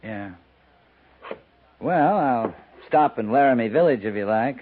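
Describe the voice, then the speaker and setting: A man playing a steady cavalry captain in a 1950s radio western, laconic, dutiful and understated. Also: mid-range voice, a little slow, dry recording. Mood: wry. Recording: muffled vintage 1950s radio recording.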